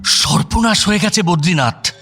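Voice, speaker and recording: High, chatty, excitable in-law. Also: male voice, moderate pace, faint music behind. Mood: alarmed. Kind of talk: radio drama acting.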